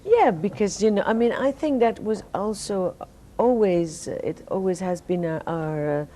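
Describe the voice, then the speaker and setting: A Belgian woman whose first language is French, giving relaxed, halting answers in an interview while sleepy and fighting off jet lag. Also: mid-range voice, a little hiss or hum. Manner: relaxed, halting, many restarts and fillers. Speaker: singer, interview guest.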